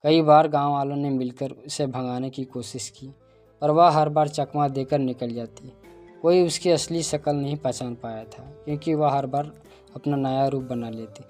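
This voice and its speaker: A man's voice: mid-range voice, fairly even intonation, normal speed, darkish tone, faint music behind. A man narrating a scripted cartoon folk tale as storyteller.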